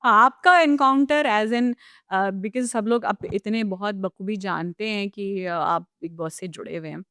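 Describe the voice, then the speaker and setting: A woman's voice: medium pitch, unhurried, pushed and bright, clear, resonant tone, dry recording, clean studio sound. A woman podcast host with an Indian accent, chatting casually as she interviews and switching between Hindi and English.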